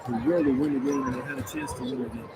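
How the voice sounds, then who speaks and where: A man's voice: medium pitch, fairly even intonation, quick pace. A man working as a radio color commentator, giving conversational basketball postgame analysis and repeating his points from an arena. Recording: live broadcast with loud crowd and noise. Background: loud arena crowd.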